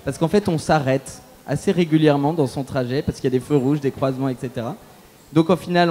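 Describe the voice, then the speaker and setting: A man's voice: on the high side, mellow. A man speaking on a conference panel, thinking aloud with frequent restarts.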